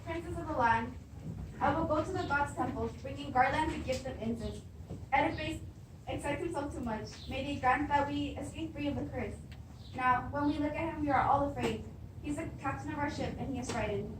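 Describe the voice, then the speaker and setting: A woman plays an anxious queen in a classical tragedy, formal and declamatory. Her voice is high, recorded at a distance with clear background noise. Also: a little fast, close to an everyday voice. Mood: worried. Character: anxious queen, devoted wife, pleading against dread. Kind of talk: beginning drama class readers theatre. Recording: echoey room, distant mic, clearly noisy.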